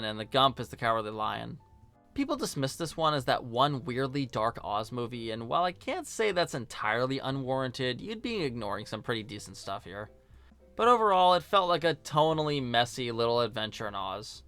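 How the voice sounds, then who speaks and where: A man's voice: mid-range voice, pushed and bright, smooth. A man narrating a scripted film review in a casual, joking, sarcastic and colloquial way. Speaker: video host and film reviewer.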